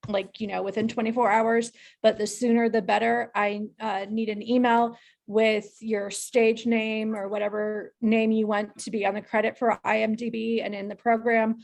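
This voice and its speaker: A woman's voice: mid-range voice, vocal fry. A woman giving casual meeting announcements as a coordinator, in a matter-of-fact, explanatory way. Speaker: production coordinator making announcements. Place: online video call.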